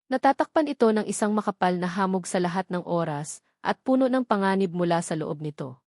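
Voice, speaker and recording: A woman's voice: on the low side, lively intonation, fairly quick, a little mellow, dry recording, clean studio sound. A woman voicing a cautious young female disciple in a storybook, respectful toward her master. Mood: anxious, warning.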